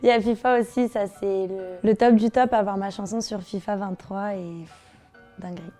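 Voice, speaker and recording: A woman in her twenties from Brazil, a singer talking casually about her career, relaxed and slangy, often hesitating. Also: medium pitch, normal speed, faint music behind. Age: 20s. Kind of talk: casual interview answers.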